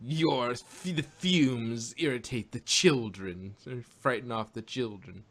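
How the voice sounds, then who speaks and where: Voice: medium pitch, slow pace. A podcast co-host chatting casually and jokingly as a fan.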